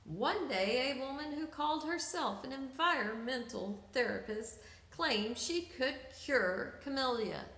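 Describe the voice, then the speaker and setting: A woman reading a picture book aloud for children, with dramatic, deliberate emphasis, in an echoey room. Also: high voice, animated, fairly bright tone. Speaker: children's story reader.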